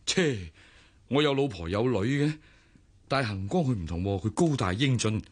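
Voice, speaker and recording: A man's voice: lowish voice, expressive, normal speed, husky. An actor in a Cantonese radio drama playing a curious, teasing married man with a Hong Kong accent. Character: curious, teasing married father, brother-in-law.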